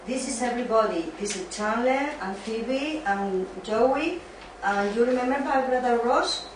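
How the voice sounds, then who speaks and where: A woman's voice: a little high, animated, unhurried. A woman playing a sensible, caring, teasing young friend in an amateur sitcom reading. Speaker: student in an amateur dramatized reading. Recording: distant, very echoey room, clear noise.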